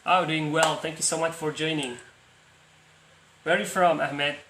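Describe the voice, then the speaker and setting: A man's voice: a little high, steady tone, normal speed, sharp tone, roomy sound, a little hiss or hum. A young man with an Indonesian accent, hosting a casual practice video call and talking in a hesitant way with frequent restarts. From Palu, Central Sulawesi, Indonesia. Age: young adult. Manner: hesitant, restarts often, laughs easily.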